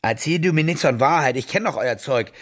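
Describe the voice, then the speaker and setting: An actor in a radio drama playing a cynical German war reporter who talks in a sullen, combative, sardonic manner. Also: male voice, mid-range voice, lively intonation, brisk, fairly bright tone. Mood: scornful. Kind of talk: radio drama acting, naturalistic dialogue.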